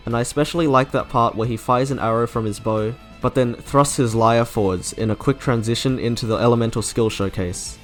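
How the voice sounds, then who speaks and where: A man's voice: medium pitch, steady tone, a little fast, hardly any pauses. A man delivering scripted commentary as the host of a video reviewing game character trailers. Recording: music under the voice. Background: background music.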